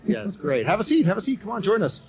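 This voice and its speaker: A man's voice: high-pitched, sing-song, a little mellow. A man in his forties co-hosting a live podcast on a college campus, upbeat and laughing often. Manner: upbeat, laughs often, rushes through explanations. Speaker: podcast co-host and game host. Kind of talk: casual live podcast chat.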